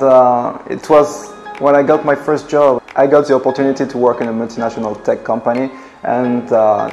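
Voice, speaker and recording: A man's voice: medium pitch, creaky, a little mellow. French-accented man telling his story. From Ivory Coast. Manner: earnest, reflective, many fillers. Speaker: travel blogger. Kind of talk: personal story to camera. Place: living room indoors. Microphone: camera at desk distance.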